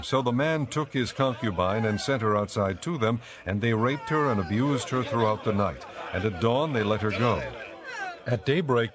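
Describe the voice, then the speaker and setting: A man giving measured, solemn scripted narration for a dramatized Bible audiobook. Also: lowish voice.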